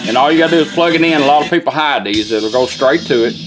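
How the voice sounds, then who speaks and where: A man's voice: mid-range voice, fast, music in the background, low-quality recording. A man giving a casual, plainspoken hands-on product demonstration in a folksy way, taking it step by step. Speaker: presenter demonstrating a vintage truck radio. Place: inside a pickup truck cab.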